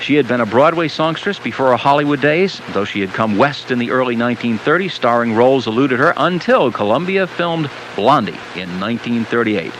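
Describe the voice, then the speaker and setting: An American man hosting a radio interview in a conversational, easygoing announcer's delivery with measured phrases. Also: mid-range voice, expressive, fairly bright tone. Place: radio studio. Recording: noisy old cassette of radio broadcast.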